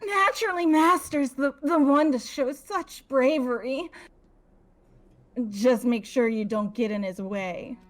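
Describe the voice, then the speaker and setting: A woman's voice: high voice, slow pace. A woman voicing a haughty young woman from a video game, scornful and theatrical, gushing over her master. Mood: adoring. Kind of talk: amateur voice acting of a video game.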